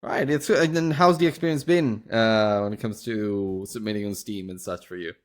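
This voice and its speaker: A man's voice: lowish voice, normal speed. A man hosting an interview podcast in a polite, conversational way, often wordy and hesitant as he talks.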